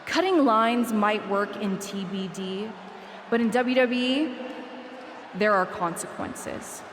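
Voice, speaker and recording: A woman's voice: mid-range voice, takes it slowly, roomy sound, in a large hall, background noise, natural voice. An actress voicing a spiteful, vengeful female wrestler in a taunting, accusing manner. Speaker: video game character, rival wrestler. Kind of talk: video game cutscene acting.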